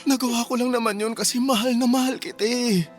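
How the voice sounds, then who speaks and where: A man's voice: very high voice, steady tone, quick pace, soft background music. A man playing an obsessive, excuse-making young boyfriend in a Filipino radio drama. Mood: pleading.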